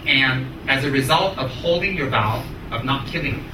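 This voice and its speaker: A man's voice: mid-range voice, lively intonation. American man teaching in an echoey room. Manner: emphatic, deliberate, short repeated phrases. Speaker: Buddhist teacher. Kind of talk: spoken teaching with questions to students. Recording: echoey room, distant mic, clear noise.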